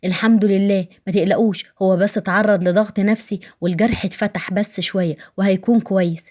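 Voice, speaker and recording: A woman voicing a calm, reassuring male hospital doctor in an audio story, speaking formally. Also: medium pitch, fast talker, lightly acted.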